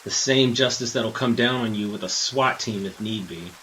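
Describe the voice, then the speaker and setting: A man playing a blustering, righteous captive detective in an audio drama, husky and forceful, with an earnest, indignant manner. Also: fairly low pitch, moderate pace, slight background noise, close to an everyday voice, poor audio. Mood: defiant. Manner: earnest, indignant, tough-talking.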